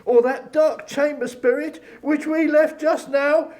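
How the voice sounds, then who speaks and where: A man's voice: very high-pitched, steady tone, darkish tone. A man playing a frightened old miser pleading with a spirit in a dramatic audiobook reading. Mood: anguished pleading. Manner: theatrical, slow, pleading.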